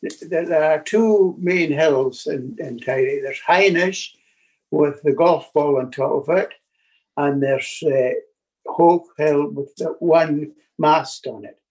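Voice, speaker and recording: An older man from Scotland, a guest telling family stories over a video call, speaking in a halting way with many restarts. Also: fairly high pitch, a little mellow, husky, rough recording.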